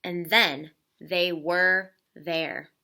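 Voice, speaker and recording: A woman narrating a children's storybook aloud in an expressive style. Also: fairly low pitch, extremely slow, gravelly. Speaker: storybook reader.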